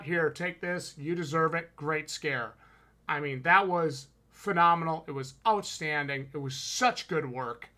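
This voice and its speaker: A man with a Wisconsin accent talks casually into a headset mic from a room at home, reviewing a haunted house. His voice sits a little high and sounds bright and forward. He is enthusiastic and emphatic, with plenty of slang. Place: home room with shelves, indoors. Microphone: headset with boom mic on video call.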